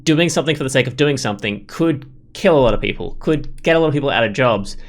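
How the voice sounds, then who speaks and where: A man's voice: mid-range voice, expressive, fast. A man giving casual let's play commentary, opinionated and rambling as he thinks aloud. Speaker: gaming video host.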